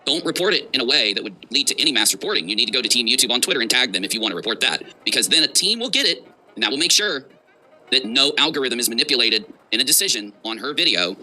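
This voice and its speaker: A man's voice: mid-range voice, sing-song, fast, pushed and bright, low-quality recording. A man hosting a livestream with mocking reaction commentary, sarcastic and emphatic in delivery.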